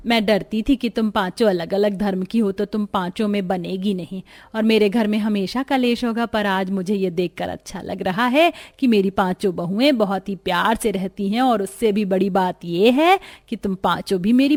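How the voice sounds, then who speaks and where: A woman's voice: medium pitch, normal speed, hardly any pauses, darkish tone, lightly acted. A woman voicing a strict but caring traditional mother-in-law who gives firm instructions in a cartoon story. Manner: instructing, firm, addresses her daughters-in-law.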